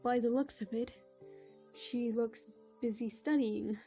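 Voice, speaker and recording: A woman voicing a wise, gentle royal mare who speaks in a calm, motherly way. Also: on the high side, a little slow. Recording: dull lo-fi audio with music.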